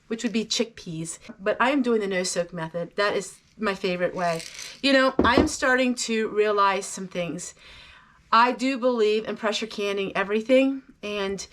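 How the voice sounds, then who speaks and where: A middle-aged woman giving a casual, folksy homestead how-to talk, chatty and explanatory. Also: medium pitch. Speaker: home canning vlogger.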